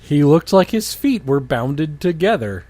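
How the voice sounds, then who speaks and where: A man's voice: fairly high pitch, very animated, a little slow, a little mellow, no room sound. A man co-hosting a comedy podcast, reading a story aloud and breaking off for jokey, casual comments. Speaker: podcast co-host reading fan fiction aloud. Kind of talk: comic dramatic reading with casual banter.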